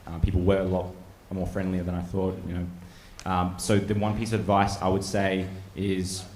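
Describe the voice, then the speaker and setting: A man's voice: deep voice, normal speed, a little hiss or hum. A young Australian man, a trainee officer, answering casually and reassuringly. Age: young adult. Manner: casual, reassuring, relaxed fillers. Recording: echoey hall.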